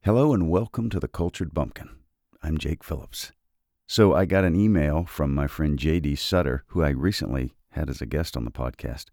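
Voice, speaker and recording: Very deep gravelly-voiced man, unhurried reading. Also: a little mellow, no echo. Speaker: podcast host and reader. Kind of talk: slow, measured poetry reading.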